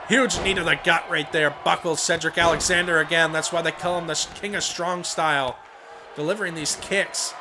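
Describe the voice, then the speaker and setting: A young man calls the action of a wrestling video game in a voice hoarse and worn from a cold, sitting a little high for a man. He gets excited and shouts on the big moves. Steady background noise sits under the home recording. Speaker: let's play commentator. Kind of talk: play-by-play wrestling commentary over a video game.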